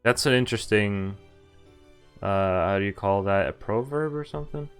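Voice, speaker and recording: Clear-voiced man reading a game aloud. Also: fairly low pitch, moderate pace, soft background music. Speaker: game streamer. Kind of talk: reading a visual novel aloud with casual commentary.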